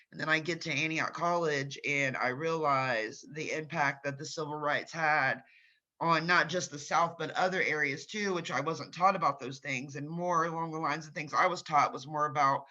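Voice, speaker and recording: A man's voice: on the high side, sharp tone, lo-fi sound. A young man from Ohio interviewing a guest, talking earnestly and casually with plenty of "like". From Gallipolis, Ohio, United States. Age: young adult. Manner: earnest, conversational, filler "like".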